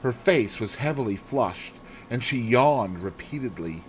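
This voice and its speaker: A man reading a classic mystery novel aloud as a narrator, in an expressive, theatrical manner. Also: mid-range voice, a little slow, slight background noise, poor audio. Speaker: audiobook narrator.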